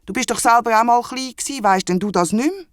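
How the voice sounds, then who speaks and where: A storyteller voicing a brisk, matter-of-fact, gently chiding platypus mother with a Swiss German accent in a children's audio story. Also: mid-range voice, no echo, studio quality, pitched above the performer's own voice, lightly acted. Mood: teasing. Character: brisk, teasing platypus mother with newborn twins.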